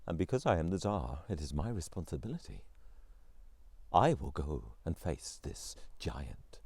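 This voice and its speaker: A man's voice: very deep voice, very animated, unhurried, vocal fry, a little mellow, slightly rough, no room sound, pitched below the performer's own voice, full character voice. A man as a resolute brave ruler. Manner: firm, commanding speech.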